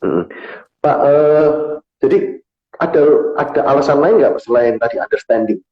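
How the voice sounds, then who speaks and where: A man's voice: mid-range voice, expressive, moderate pace. Talk host guy, hesitant and chatty, echoey room, kinda muffled. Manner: hesitant, chatty, asks follow-up questions. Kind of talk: casual talk show chat. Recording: dull, roomy recording.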